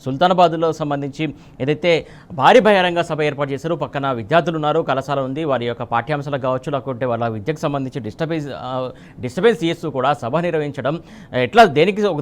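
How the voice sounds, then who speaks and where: A male news anchor delivers live breaking news at a rapid pace. His voice sits a little high, and he presses his questions insistently.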